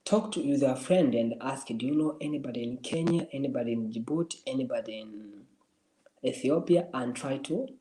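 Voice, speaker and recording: A man's voice: medium pitch, moderate pace, mellow, rough recording. A man from Somalia, hosting a casual livestream and giving encouraging advice to viewers, often repeating and restarting his phrases. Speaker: livestream host answering viewers.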